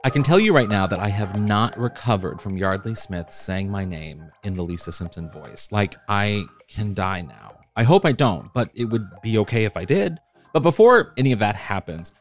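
Lively low-voiced male host. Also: moderate pace, faint music behind. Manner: affirming, enthusiastic, lots of short agreement. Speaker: podcast host. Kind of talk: interview chat.

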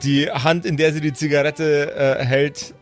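A man's voice: on the high side, little pitch movement, a little slow. A man narrating an improvised tabletop role-playing game as game master. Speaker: game master who also voices characters. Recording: music behind. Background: instrumental background music.

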